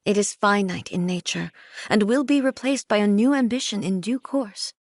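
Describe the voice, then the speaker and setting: A woman voicing a dignified female ruler who speaks in a measured, formal and reflective way, with a husky voice. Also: medium pitch, dry recording, clean studio sound, natural voice.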